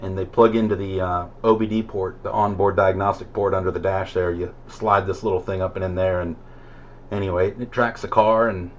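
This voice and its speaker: A man's voice: deep voice, little pitch movement, brisk. A middle-aged American man chatting casually over CB radio in a rambling, hesitant way. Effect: CB radio transmission. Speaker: amateur CB radio operator. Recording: muffled CB radio signal with static noise.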